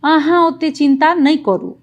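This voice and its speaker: A woman voicing a scornful, bossy young daughter-in-law in a cartoon, sharp-tongued, with a Maithili accent. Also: sits high, lively intonation, a little slow, lo-fi sound. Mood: bossy, impatient. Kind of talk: Indian cartoon dialogue.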